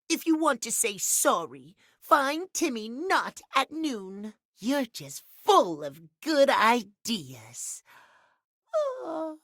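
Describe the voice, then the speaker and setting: A man's voice: extremely high pitch, pushed and bright, dry recording, studio quality, full character voice. A man voicing a vain, needy, childish male cat clock in a video game, using pouty third-person baby talk. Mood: huffy, defensive, then pleased, proud. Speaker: voice actor. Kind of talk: video game character acting.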